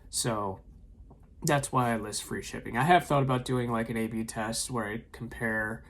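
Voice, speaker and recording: A man's voice: mid-range voice, moderate pace, slight background noise. Laid-back man, lots of ums. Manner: relaxed, frequent ums and uhs. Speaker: eBay reseller vlogger. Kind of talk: casual recap of sales. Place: home room with shelves of tapes.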